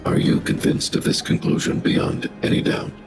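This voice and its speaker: A man voicing a big, formal combat robot in a measured, emotionless, synthetic manner. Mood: impassive, formal. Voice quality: very deep, gravelly. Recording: loud music behind.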